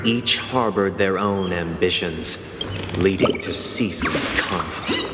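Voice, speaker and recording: Very deep, solemn stage-play narrator. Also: male voice, slow. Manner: solemn, grandiloquent. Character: solemn male storyteller of a grand stage play. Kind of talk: scripted theatrical narration. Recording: echoey hall reverb, loud music.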